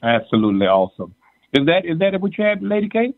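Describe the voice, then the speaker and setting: A man's voice: high-pitched, sing-song, fast talker, dry recording. Jamaican-born male co-host, playful, stammers and repeats himself, tinny dry phone-like sound. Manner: joking, teasing, repeats words. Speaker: podcast co-host. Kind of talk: casual chat.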